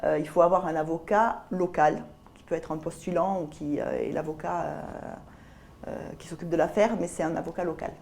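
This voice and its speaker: A middle-aged woman with a southern French accent and a soft, mellow, slightly low voice. She gives considered interview answers, pausing mid-phrase as she looks for the right word. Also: fairly even intonation, vocal fry. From south of France (Albi). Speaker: guest, business lawyer. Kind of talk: interview answers about career.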